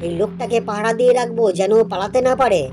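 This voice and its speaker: A woman voicing a clever, friendly male goat with a coaxing way of talking in an animated folk tale for children. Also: mid-range voice, very fast, over music, close to an everyday voice. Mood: coaxing, sly. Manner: coaxing, pleading.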